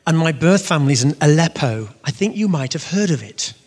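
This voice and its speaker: A man giving an animated faith testimony to an audience, telling his story with bursts of loud emphasis. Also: a little high, brisk. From half Syrian. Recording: long reverb of a hall.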